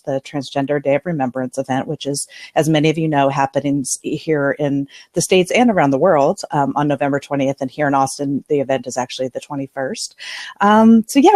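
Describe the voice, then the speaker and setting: A woman's voice: low-pitched, darkish tone, dry recording, studio quality. A woman hosting a live online talk show, chatty and enthusiastic, speaking on a video call with headphones. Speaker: host.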